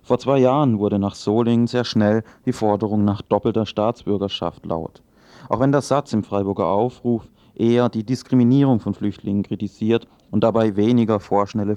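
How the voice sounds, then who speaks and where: A man's voice: fairly low pitch, mellow, no room sound. A man reading scripted political commentary in a sober, formal, critical manner. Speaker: radio news commentator.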